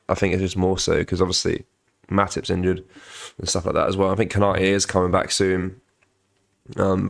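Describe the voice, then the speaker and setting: Croaky low-voiced man hosting a stream. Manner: halting, many ums and restarts, reads out viewer comments. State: croaky from a cold. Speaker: football channel host. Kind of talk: casual live-stream watch-along chat.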